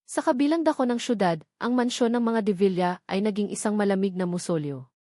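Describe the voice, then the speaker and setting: A woman's voice: medium pitch, brisk, mellow, no echo, clean studio sound. A woman with a Filipino accent reading a scripted, dramatic romance story as its narrator.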